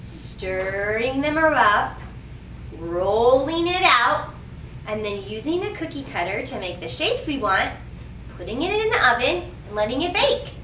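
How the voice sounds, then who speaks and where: A woman's voice: high voice, big pitch swings, a little slow, bright, forceful tone. A woman hosting a library storytime, addressing young children with questions and prompts as she reads and shares rhymes. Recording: roomy echo, distant mic, faint noise.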